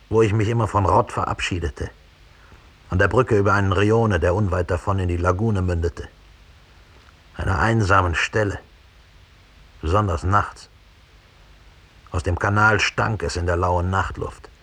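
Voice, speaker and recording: An actor playing a cold, embittered middle-aged German killer who slowly and broodingly confesses in a 1960s radio play. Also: male voice, deep voice, steady tone, fairly bright tone, slightly rough, heavily acted. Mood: brooding, bitter. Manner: slow, deliberate, brooding storytelling.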